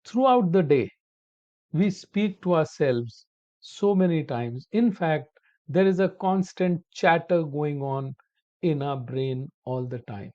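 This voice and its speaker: Slow, mellow-voiced man teaching English. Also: a little high. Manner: slow, deliberate, addresses viewers as "my dear friends". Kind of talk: online spoken-English lesson. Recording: dull lo-fi audio.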